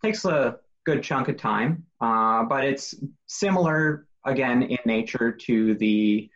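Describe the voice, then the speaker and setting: A man, a paralegal guest on a podcast, explaining his profession methodically with frequent pauses and fillers. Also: mid-range voice, a bit bright, muffled recording. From Canada. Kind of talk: interview answers.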